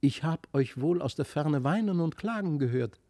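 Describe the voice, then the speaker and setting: A sweet magical child from a fairy tale, performed by a male reader. It speaks gently and playfully, encouraging and kindly, in a soft, mellow voice with a dry, close sound. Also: a little high, lively intonation, moderate pace, no room sound. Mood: gentle concern.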